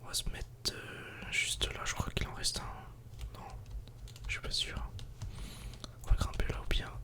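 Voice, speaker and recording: A woman whispering breathy, unvoiced ASMR commentary while playing a video game, murmuring to herself and to viewers. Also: measured pace.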